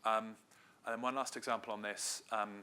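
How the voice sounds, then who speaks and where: Low, flat-voiced man giving a talk. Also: fairly quick, clear, resonant tone. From Wales. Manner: conversational, loose delivery with frequent hedges. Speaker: marketing director giving a talk. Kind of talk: conference presentation.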